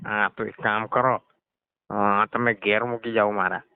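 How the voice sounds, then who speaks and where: Man playing low-voiced, cheeky garage customer. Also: flat delivery, a little slow, clear, resonant tone, no echo. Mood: stalling. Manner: nonchalant, needling, stalling. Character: cheeky, evasive male garage customer dodging payment. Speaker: performer in a scripted comedy call. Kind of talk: scripted comedy phone call. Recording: dull lo-fi phone call recording.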